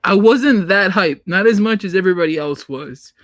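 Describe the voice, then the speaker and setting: A man's voice: high-pitched, animated, moderate pace, a bit bright, no echo, studio quality. A man chatting casually as a podcast guest, telling stories in a chatty, loose way. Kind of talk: casual chat.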